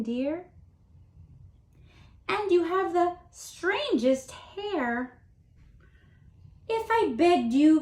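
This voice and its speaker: A woman voices a tender, lovesick male turtle from a storybook poem. He pleads in a high, sing-song voice that rises and falls widely. The room around him rings with echo. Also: exaggerated voice. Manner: sweet, pleading and plaintive.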